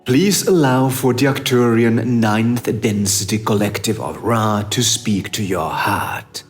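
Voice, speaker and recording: A man narrating a scripted guided meditation in a measured, instructive manner with long pauses. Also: lowish voice, soft background music.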